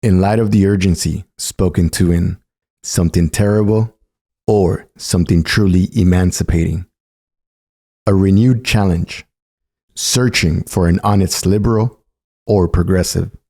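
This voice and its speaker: A man's voice: deep voice, fairly even intonation, measured pace, dark tone. A man reading a scripted political polemic as narration. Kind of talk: scripted narration, polemical essay read aloud.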